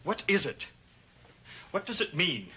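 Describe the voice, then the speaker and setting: A man's voice: high-pitched, big pitch swings, measured pace, pushed and bright, slight background noise, exaggerated voice. A young man playing a haunted, brooding grandson in a gothic soap opera, speaking hesitantly. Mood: anxious. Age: young adult. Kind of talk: 1960s gothic TV soap acting. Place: TV studio set of an old house.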